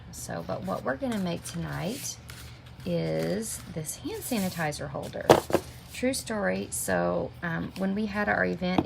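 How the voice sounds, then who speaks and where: A middle-aged woman walks viewers through a craft project, her low voice heavily gravelly and a little stuffy from a cold. She chats casually and greets viewers by name as she works. A steady noise sits under the camera-mic recording. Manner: chatty, step-by-step, talks to viewers by name. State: sniffling with a minor cold. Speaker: stamping craft demonstrator. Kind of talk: live craft tutorial. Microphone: camera mic at desk. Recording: noticeable background noise.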